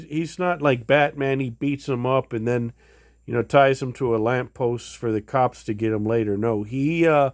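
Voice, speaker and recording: A man's voice: mid-range voice, a little mellow, no room sound. A man casually showing items in a home vlog, rambling with many fillers and restarts. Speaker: vlogger. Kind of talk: casual show-and-tell vlog.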